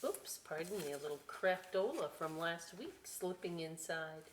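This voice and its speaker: A woman reading aloud to children as a story time host, expressive and drawn out, with chatty conversational asides. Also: on the low side, moderate pace, background noise. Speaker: library story time reader.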